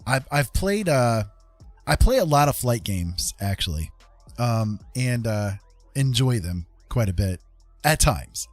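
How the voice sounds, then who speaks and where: A man hosting a live stream in a casual, rambling chat, often pausing mid-thought and repeating his phrases. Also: mid-range voice, big pitch swings, no echo, faint music behind.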